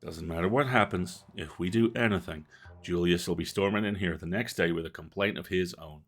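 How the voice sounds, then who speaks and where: A man's voice: sits low, a little fast, voice pushed down. A man voicing a dismissive middle-aged small-town sheriff in a game, folksy, blunt and weary. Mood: dismissive, exasperated. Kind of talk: reading game dialogue aloud.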